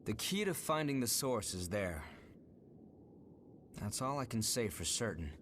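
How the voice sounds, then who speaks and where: An actor playing a calm, thoughtful young male leader in a video game, with a measured, composed delivery. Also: lowish voice, slight background noise. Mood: calm, serious. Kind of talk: English video game dub acting.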